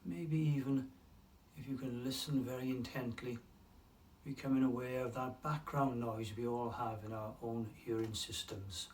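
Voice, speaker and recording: Male meditation guide, medium pitch, calm and unhurried with long pauses, recorded a little away from the mic over faint noise. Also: distant mic.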